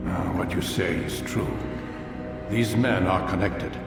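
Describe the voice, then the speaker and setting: An actor playing a stern, cryptic old master of assassins in a video game, measured, commanding and condescending. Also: male voice, low voice, fairly even intonation, vocal fry, full character voice. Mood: grave, knowing. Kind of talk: dramatic video game acting. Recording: distant, cavernous echo, music behind.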